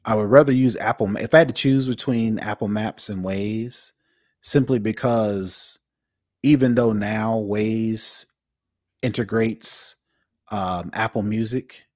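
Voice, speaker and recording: A man's voice: fairly low pitch, steady tone, unhurried, no room sound, clean studio sound. A man co-hosting a tech podcast, chatting casually and hesitantly with many fillers. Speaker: podcast co-host.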